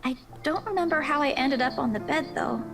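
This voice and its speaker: A woman's voice: sits high, lively intonation, brisk, gravelly, over music. An actress playing an apologetic, caring young woman in a visual novel game. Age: young adult.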